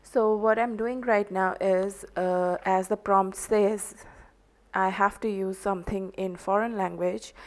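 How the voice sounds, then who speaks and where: Slow, hesitant Indian woman crafter. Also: medium pitch. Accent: Indian English. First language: Hindi. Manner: slow, hesitant, many ums and uhs. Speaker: art journaling crafter. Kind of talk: crafting tutorial voice-over.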